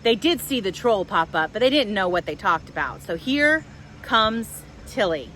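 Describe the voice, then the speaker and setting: A woman telling stories to young children outdoors in a park, with expressive, simple phrasing. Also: mid-range voice, moderate pace. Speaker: children's storyteller, host. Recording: outdoors with faint wind noise.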